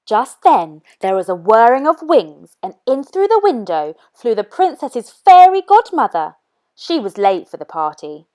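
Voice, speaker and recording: Slow, expressive woman reading a children's story. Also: a little high.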